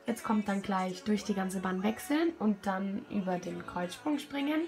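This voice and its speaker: A hoarse young woman with a cold, talking casually and self-critically over a video of her riding. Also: mid-range voice, measured pace, music in the background. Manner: self-critical, chatty, many fillers. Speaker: rider commenting her own show-jumping test video. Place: at home, voice-over.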